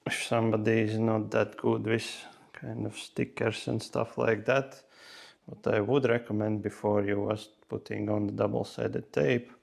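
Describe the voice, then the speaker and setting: A man's voice: fairly low pitch, gravelly. A man talking casually through a hands-on tutorial step by step, with non-native English phrasing. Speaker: host of a motorcycle gear how-to video.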